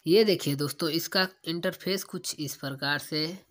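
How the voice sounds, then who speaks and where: Casting: young man, tech tutorial host, casual and instructional, medium-to-high male voice. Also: moderate pace, a bit bright. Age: young adult.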